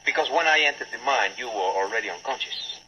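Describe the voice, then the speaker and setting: An actor playing a composed, courteous Latin gentleman in a 1940s radio drama, speaking politely and precisely. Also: mid-range voice, expressive, fast talker. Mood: grave. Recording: muffled old radio, faint hiss.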